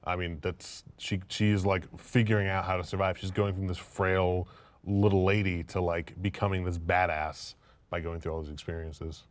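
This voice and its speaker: A man's voice: low voice, level tone, moderate pace, no echo. A man on a discussion panel, talking in a blunt, informal, casual way. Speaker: panelist on a film and gaming discussion show. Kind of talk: casual chat.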